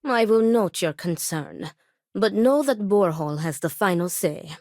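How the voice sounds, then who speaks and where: An actress voicing a grave, principled and devout woman in a video game, speaking in formal, measured, stern phrases. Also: mid-range voice, animated, darkish tone, dry recording, clean studio sound, lightly acted. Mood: solemn, earnest. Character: grave, principled woman acting as duel second, devout, weary. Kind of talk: video game character dialogue.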